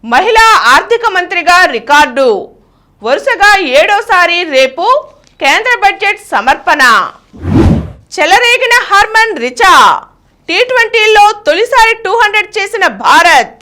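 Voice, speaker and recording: A woman's voice: very high voice, animated, measured pace, pushed and bright. A woman reads a scripted news bulletin in a formal announcer's manner. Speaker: news reader.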